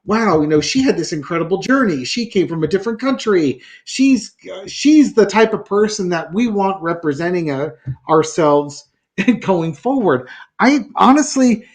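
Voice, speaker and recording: A man's voice: high voice, lively intonation, normal speed. A man reading tarot cards in a casual talk show, thinking aloud with many fillers and restarts. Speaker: tarot reader.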